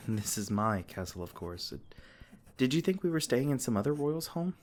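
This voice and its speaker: A man playing a gentle, protective male fae king in a comforting ASMR roleplay, soft-spoken and reassuring. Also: medium pitch, sing-song, moderate pace, lightly acted.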